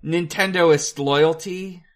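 A man with a slightly high voice talks slowly as a casual podcast co-host. He is hesitant and halting, working out his thoughts aloud while discussing film. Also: fairly even intonation, a crowd in the background.

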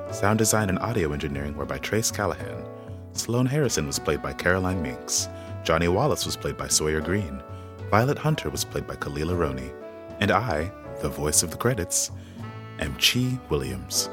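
A man with an exceptionally deep voice reads out the closing credits, calm and even. Clear guitar music plays behind him. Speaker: credits announcer.

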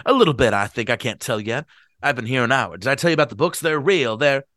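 A man playing an excitable drunk old-fashioned gent. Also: mid-range voice, fast, no echo, clean studio sound, close to an everyday voice. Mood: drunk, rambling. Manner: loud, excited, repeats himself. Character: stout drunk middle-aged man, excitable and rambling. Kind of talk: reading a novel aloud.

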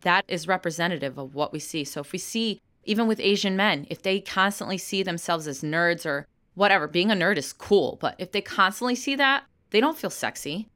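A woman's voice: lowish voice, expressive, brisk, hardly any pauses, a bit bright. A woman in her thirties, an actor and comedian, answering interview questions frankly and laughing easily. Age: 30s.

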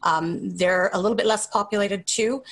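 A woman's voice: medium pitch, normal speed, fairly bright tone. A woman in her forties with a Canadian accent, answering interview questions in a casual, conversational way. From Calgary, Canada. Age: 40s. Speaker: interview guest.

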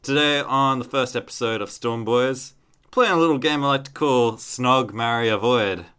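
A man's voice: mid-range voice, moderate pace, sharp tone, clear, resonant tone. An Australian man chatting casually and comedically as a podcast host.

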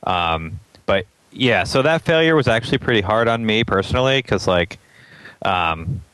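A man's voice: on the low side, expressive, normal speed, fairly bright tone, clear, resonant tone, no room sound, low-quality recording. A man from Atlanta, a startup founder as an interview guest, thinking aloud in casual chat with many ums and frequent chuckles.

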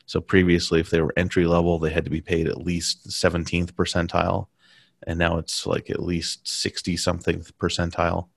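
Guy with a really low voice, keeps restarting sentences, dry close mic. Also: completely flat delivery, gravelly, breathy edge, no echo. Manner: halting, many restarts and fillers. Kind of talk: casual conversation.

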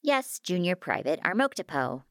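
A woman voicing a sly, polite fabricator machine that speaks in a formal, measured, teasing way in an audiobook story. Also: medium pitch, very animated, dry recording, clean studio sound, pitched above the performer's own voice.

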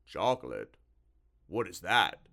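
A man voicing a huge slimy storybook monster, slow-witted and easily spooked, with a drawn-out, ponderous delivery. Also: deep voice, big pitch swings, vocal fry, sharp tone, full character voice. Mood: hungry, musing.

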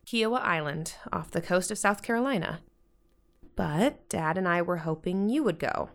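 A woman's voice: fairly low pitch, expressive, moderate pace. Stern but loving American mother, played by a woman. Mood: gentle, persuading. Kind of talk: modern audio drama acting.

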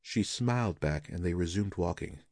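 A man with a low voice reads scripted fiction as a narrator. His delivery is measured and solemn, with a dramatic touch. The sound is dry, with no room around it. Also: animated, darkish tone, dry recording. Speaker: audiobook narrator.

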